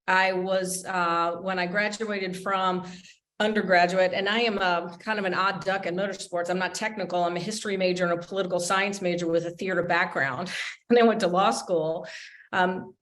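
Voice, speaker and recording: A middle-aged woman from Michigan recounts her career as a panel guest, chatting along with plenty of fillers. Her voice sits a little low and stays quite level, with little rise and fall. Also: steady tone, moderate pace, fairly bright tone. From Michigan, USA. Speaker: motorsports lawyer, panel guest.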